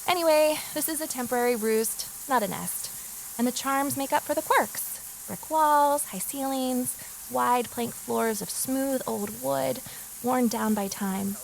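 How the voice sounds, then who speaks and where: A woman's voice: high-pitched, unhurried, vocal fry, a little hiss or hum, low-quality recording. Wry, candid woman narrator. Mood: wry, settling in. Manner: candid, wry, self-deprecating asides. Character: wry, candid woman, former journalist starting over. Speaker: lead character and first-person narrator. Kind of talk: scripted first-person audio drama narration.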